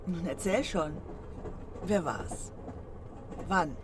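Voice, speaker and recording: An actress playing a teasing, candid wife with a coaxing, playful way of talking in radio drama dialogue. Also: female voice, fairly low pitch, very slow, background noise.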